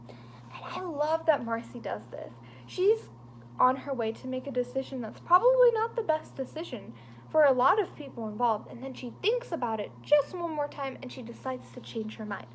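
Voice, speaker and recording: A woman reading a picture book aloud to children, animated and dropping into whispers for suspense, with chatty asides to her young listeners. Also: sits high, a little hiss or hum, poor audio.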